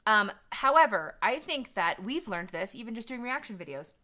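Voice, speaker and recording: Young woman, bright clear tone, voice teacher, explaining singing technique. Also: a little high, animated, normal speed. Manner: thoughtful, explains vocal technique in detail. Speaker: voice teacher and reaction video co-host. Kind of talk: casual analytical chat. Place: living room studio, seated on a couch.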